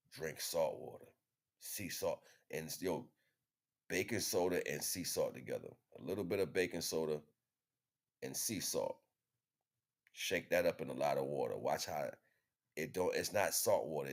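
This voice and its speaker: Man, extremely deep voice, gravelly, emphatic, slangy, casual motivational talk. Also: moderate pace, long pauses. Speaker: host.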